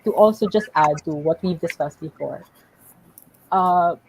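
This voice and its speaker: A young woman speaking as a graduate student on a book-talk panel, explaining her points in a measured way with small restarts. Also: on the low side, a little mellow, a little hiss or hum, rough recording. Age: young adult. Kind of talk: panel discussion, academic.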